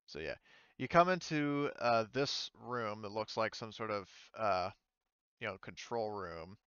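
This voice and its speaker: Low, smooth man running a game. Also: animated, a little slow, fairly bright tone, no echo, lo-fi sound. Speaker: game master of an online tabletop game. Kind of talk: casual game narration with read-out descriptions.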